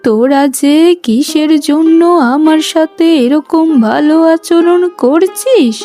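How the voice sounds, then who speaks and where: A woman's voice: high-pitched, takes it slowly, mellow, soft background music. A woman voicing a stern, suspicious elderly mother-in-law who admonishes in a cartoon family story. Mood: stern, reproachful. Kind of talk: Bengali cartoon story voice acting.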